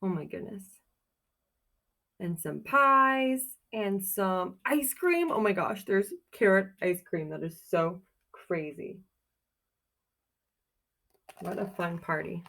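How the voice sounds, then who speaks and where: A woman's voice: medium pitch, lively intonation, slow, creaky, long pauses, fairly bright tone. A woman doing a children's read-aloud like a teacher, reading expressively in a sing-song way and putting simple, encouraging questions to her young listeners.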